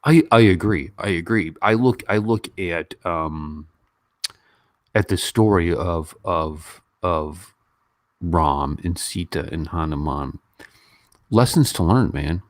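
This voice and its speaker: Male livestream host, low-pitched and informal, rambling conversational delivery, very dry close sound. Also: slow pace, no echo. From Western country. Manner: informal, animated when mimicking others, rambling. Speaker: YouTube host. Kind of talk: casual livestream chat and commentary.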